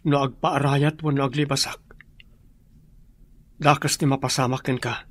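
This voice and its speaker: Actor as worried meek man, mid-pitched voice, hesitant, respectful, Ilocano accent, radio drama. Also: little pitch movement, moderate pace, a bit bright, natural voice. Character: meek rescued captive man, deferential and worried. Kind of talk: Filipino radio drama acting.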